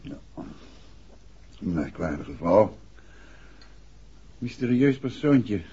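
An actor playing a calm, probing amateur detective in a 1960s Dutch radio play, with polite, measured questioning. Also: male voice, on the low side, husky, full character voice. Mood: relaxed, musing. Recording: muffled vintage 1960s radio recording.

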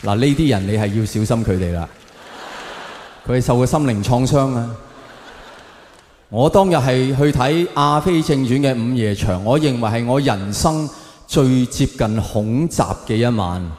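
A man with a Hong Kong Cantonese accent performing stand-up to an audience, telling stories in a theatrical, exaggerated way with drawn-out punchlines. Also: mid-range voice, lively intonation, darkish tone. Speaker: stand-up comedian. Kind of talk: stand-up comedy monologue to an audience. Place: large live performance venue. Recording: echoey hall with long reverb, faint noise.

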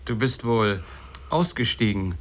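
An actor in a radio play voicing a small, plain-spoken sailor who is teasing and probing but helpful. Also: male voice, fairly low pitch, lively intonation, measured pace. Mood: suspicious, teasing.

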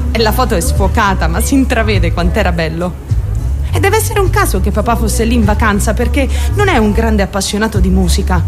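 A woman's voice: medium pitch, close to an everyday voice. A woman acting a theatrical radio monologue as a troubled young woman, defensive and candid, rambling and addressing her listeners directly. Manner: confiding, addresses listeners directly with rhetorical questions. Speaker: actor.

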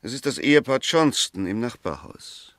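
An actor playing a methodical, argumentative defence advocate in a 1960s radio drama, deliberate and emphatic. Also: male voice, medium pitch, big pitch swings, fairly bright tone, no room sound. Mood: probing, measured. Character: argumentative, methodical male defence advocate dissecting a murder case.

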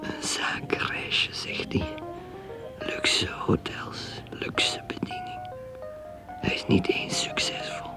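An actress playing a troubled woman drinker in a radio drama, thinking aloud in halting, intense, breathy whispers. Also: extremely high pitch, wildly swooping pitch, music in the background, exaggerated voice, poor audio. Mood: scornful, furtive. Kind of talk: radio drama interior monologue.

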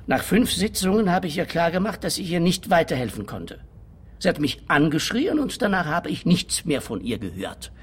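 A money-minded anti-aging therapist in a radio drama. His voice is husky and fairly high, with lively swings of pitch. He explains himself smoothly and condescendingly, like a salesman. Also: normal speed. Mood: self-justifying. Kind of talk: radio play dialogue.

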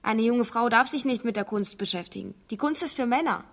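A woman's voice: a little high, fairly quick, vocal fry. A woman reading a translated interview matter-of-factly as a radio documentary voice-over.

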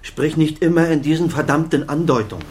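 A man's voice: a little high, expressive, a little fast, a little mellow, lightly acted. An actor as a defensive, guilt-laden father. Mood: indignant. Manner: self-justifying, defensive, pleading. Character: defensive middle-aged doctor father, guilty and pleading. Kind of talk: naturalistic radio drama acting.

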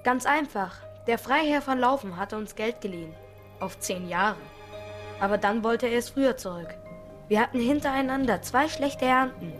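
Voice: medium pitch, lively intonation, moderate pace, natural voice. An actor in a children's radio play voicing a tough street kid, matter-of-fact, wry and teasing. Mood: matter-of-fact, bitter. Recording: studio radio play with faint music.

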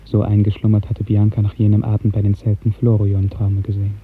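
A man narrating a literary radio drama in an earnest, lyrical, carefully enunciated way, with a soft, husky voice. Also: on the low side, monotone, fast. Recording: muffled narrow-band 1950s radio recording.

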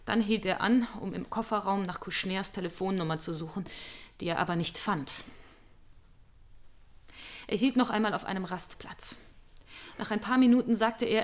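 Woman playing sober witness, medium pitch, measured matter-of-fact telling, radio drama monologue. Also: lightly acted. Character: female witness soberly recounting her ordeal.

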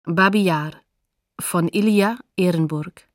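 A woman's voice: lowish voice, slow pace, dry recording, studio quality. A woman announcing scripted documentary credits in a sober, neutral manner.

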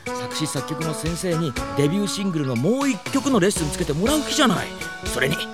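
An actor playing a frantic, fawning young idol manager in a comedic audio drama, nagging and flustered. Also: male voice, a little high, expressive, fast talker, loud music behind the voice, natural voice. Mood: nagging, hurried. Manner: nagging, flustered, obsequious, exclamatory.

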